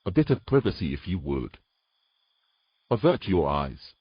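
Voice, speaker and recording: A man playing a weary, gruff knight with dry, teasing humour in a romantic fantasy audio drama. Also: deep voice, very animated, unhurried, muffled recording, heavily acted. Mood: tired, irritated.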